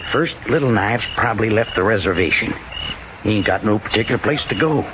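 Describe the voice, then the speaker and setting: An actor playing a wounded, folksy Old West frontiersman with a slow western drawl and rough frontier slang, in a 1950s radio drama. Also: on the low side, expressive, natural voice. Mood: wary, drawling. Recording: hissy, muffled old radio broadcast.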